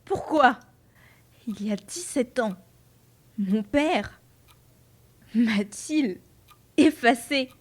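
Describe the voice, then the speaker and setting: A woman's voice: fairly high pitch, lively intonation, very slow, long pauses, exaggerated voice. A woman playing a bitter, sarcastic woman in her twenties, blunt and sardonic, in a French audio drama. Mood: bitter, angry. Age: 20s.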